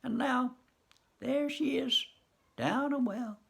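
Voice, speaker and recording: A man voicing a grieving, bewildered old farmer in a storybook reading, with a high quavering wail. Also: slow pace, gravelly, fairly bright tone, exaggerated voice.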